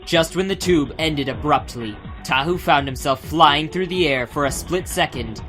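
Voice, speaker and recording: A man's voice: a little high, moderate pace. A man giving a scripted, dramatic narration of a fantasy audiobook. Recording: music behind the voice.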